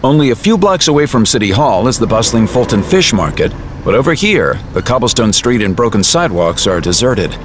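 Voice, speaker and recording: A man's voice: medium pitch, very animated, brisk, a little hiss or hum. A man giving scripted, vivid storytelling narration for an audio drama.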